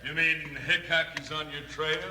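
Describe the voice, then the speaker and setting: An actor playing a vengeful, scheming outlaw in a 1950s radio Western, with menacing frontier talk. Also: male voice, fairly high pitch, level tone, normal speed, lightly acted. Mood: calling out. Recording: noisy old radio recording.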